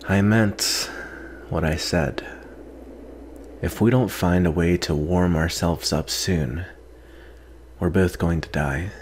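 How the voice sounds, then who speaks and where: A man acting out a proud, sardonic captive vampire in a soft-spoken, disdainful ASMR roleplay. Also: sits low, slow pace, pauses often, a little hiss or hum. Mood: dry, wry. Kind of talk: ASMR roleplay, acted monologue.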